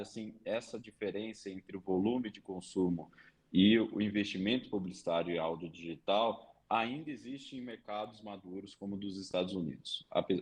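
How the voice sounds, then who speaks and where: A man's voice: fairly low pitch, darkish tone, slightly rough. A man with a Brazilian Portuguese accent, a regular commentator on a podcast, explaining radio market research and often tagging sentences with small fillers. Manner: explanatory, leans on tag questions and fillers.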